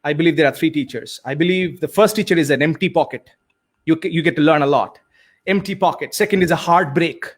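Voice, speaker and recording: A man with an Indian accent whose first language is Bengali, telling his life story as a guest with earnest warmth and frequent "you know" fillers. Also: a little high, fairly quick. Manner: earnest, many fillers and repeated starts. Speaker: guest speaker and coach. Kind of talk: conversational storytelling.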